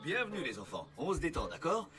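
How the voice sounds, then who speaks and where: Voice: mid-range voice, big pitch swings, fast, natural voice. An actor playing an easygoing, reassuring grown male rescue vehicle in a children's cartoon dub. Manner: reassuring, coach-like. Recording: music behind the voice.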